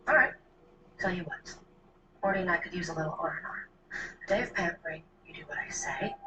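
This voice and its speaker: A woman's voice: mid-range voice, distant mic, lightly acted. Actress as scheming jealous young woman, mocking, commanding, film audio from afar, noisy background.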